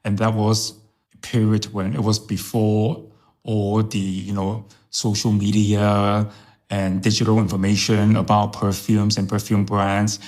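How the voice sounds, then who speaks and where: A man's voice: fairly low pitch, little pitch movement, a little slow, darkish tone. A middle-aged man from Hong Kong raised in New York, whose first language is Chinese, chatting as a podcast guest and repeating phrases for emphasis. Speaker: podcast guest, fragrance brand director. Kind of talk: casual interview chat.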